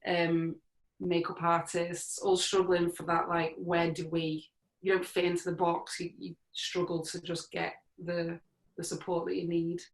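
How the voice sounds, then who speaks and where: Very monotone woman in echoey room. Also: lowish voice, a little slow. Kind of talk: casual interview chat. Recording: roomy, echoing sound.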